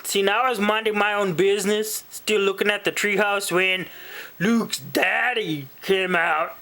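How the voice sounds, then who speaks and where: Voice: mid-range voice, fairly even intonation, measured pace, pushed and bright. A person voicing a friendly, dim, rambling male storybook moose with a hesitant, folksy manner. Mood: rambling, bemused. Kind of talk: in-character picture book retelling to children.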